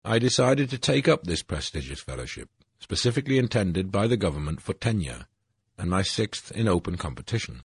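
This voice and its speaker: An older man from Wales, reading a formal, aggrieved personal statement in a measured way. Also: low-pitched, big pitch swings, husky, dry recording.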